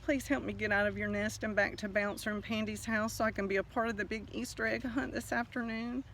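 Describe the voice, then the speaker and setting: A man's voice: very high-pitched, moderate pace, a bit bright, slight background noise, voice pushed up. A man voicing a frightened little male Easter egg that pleads for help in a storybook tale. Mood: pleading. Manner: pleading, breathless.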